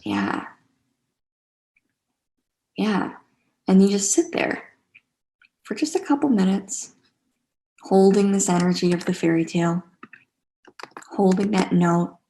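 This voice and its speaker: A woman teaching a spiritual class online in a casual, gentle and encouraging way, repeating phrases for emphasis, with a creaky voice. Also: mid-range voice, expressive, slow pace, long pauses. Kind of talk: casual guided teaching to a live online group.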